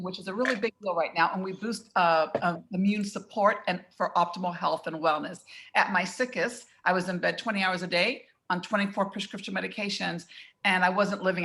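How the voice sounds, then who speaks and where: A woman delivering a prepared, personal business pitch on a video call. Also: vocal fry, a bit bright. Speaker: health business owner pitching.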